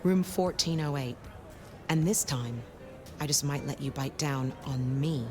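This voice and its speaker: A woman's voice: low voice, unhurried, heavy background noise. An actress playing a domineering, teasing, sardonic vampire woman in a video game, commanding and mocking. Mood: smug, seductive. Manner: commanding, mocking, casual slangy wording.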